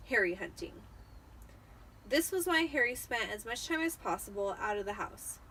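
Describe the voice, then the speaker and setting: A tipsy woman reading a novel aloud in a theatrical, expressive storytelling style. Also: fairly high pitch, distant mic, a little hiss or hum, rough recording. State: tipsy from wine. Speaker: amateur storybook reader.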